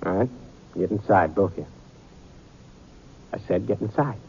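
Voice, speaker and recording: An actor playing a vengeful, taunting outlaw in a 1950s radio western. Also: male voice, on the low side, normal speed, creaky, dark tone, slightly rough, full character voice. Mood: cold menace. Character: menacing, vengeful male outlaw holding a family hostage. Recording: tinny, faintly noisy old radio recording.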